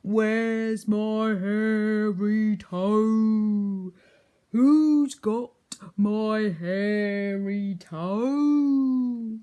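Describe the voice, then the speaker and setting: A woman voicing a moaning, ghostly creature that is searching for its lost toe, with a loud, slow, drawn-out wail. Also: medium pitch, pitched above the performer's own voice. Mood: eerie.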